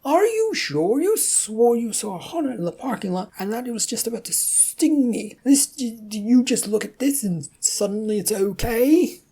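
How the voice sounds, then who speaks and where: A narrator voicing a distrustful, sneering male office boss with a squeaky voice in a story reading. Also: sing-song, moderate pace, hardly any pauses, mellow, breathy edge. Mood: incredulous.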